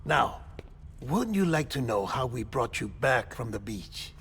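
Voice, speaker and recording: An actor playing a wry, caring comrade in a video game cutscene, conversational and explanatory. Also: male voice, mid-range voice, sing-song, unhurried, a bit bright, slight background noise. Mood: wry, coaxing.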